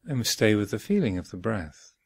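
A man giving guided meditation instruction as a teacher, speaking in measured short phrases with long pauses between them. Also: on the low side, very animated, a little mellow, breathy edge, no echo. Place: retreat center, close dry recording.

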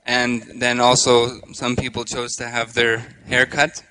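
A man in his thirties hosting a live interview on a handheld microphone, speaking haltingly with frequent pauses. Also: mid-range voice, completely flat delivery, pushed and bright, slight background noise, rough recording. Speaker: host. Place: indoor stage with curtain.

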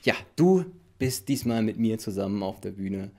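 A man's voice: on the low side, lively intonation, moderate pace, mellow. A man in his thirties presenting in a casual promotional chat. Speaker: presenter, writer and actor. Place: living room, indoors.

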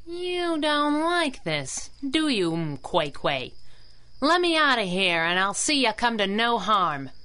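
Bright, sassy young heroine. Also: female voice, on the high side, sing-song, slow pace, clear, resonant tone, heavily acted. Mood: defiant. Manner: sassy, teasing. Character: cocky, wisecracking young heroine sidekick. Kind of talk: pulp radio drama acting.

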